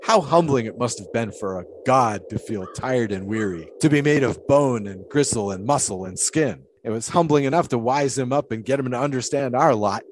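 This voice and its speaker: An actor playing an eccentric, pious 1920s flagpole sitter in an audio drama, talking in a folksy, loquacious, philosophizing way with period idiom. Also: male voice, mid-range voice, moderate pace, hardly any pauses, a little hiss or hum, lightly acted, low-quality recording. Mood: preachy, musing.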